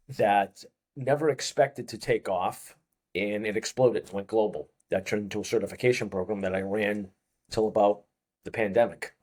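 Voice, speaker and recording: A man's voice: fairly low pitch, darkish tone, breathy edge. A man in his fifties with a Boston accent, a fitness coach chatting as an interview guest and rambling through anecdotes. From Boston, USA. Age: 50s. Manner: rambling anecdotal storyteller, chuckles often. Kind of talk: casual interview chat.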